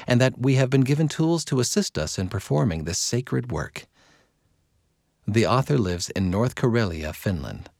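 A man narrating a magazine article from a script in a measured, clearly phrased read-aloud delivery. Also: on the low side, a little mellow, dry recording, studio quality.

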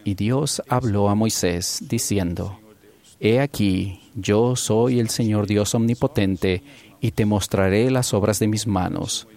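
A man with a somewhat low voice delivers a sermon at a measured pace. He speaks calmly and deliberately, with a reverent, unhurried tone suited to a church address. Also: vocal fry.

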